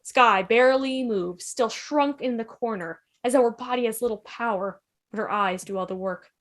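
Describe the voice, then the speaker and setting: A woman's voice: fairly high pitch, moderate pace. A woman narrator reading stage directions for a drama script. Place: online video call.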